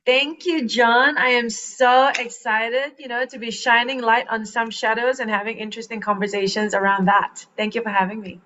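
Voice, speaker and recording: Lively woman from Malaysia, muffled sound. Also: on the high side, moderate pace, hardly any pauses. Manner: animated, laughs often, restarts phrases. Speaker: guest, transformational coach. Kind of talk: casual podcast conversation.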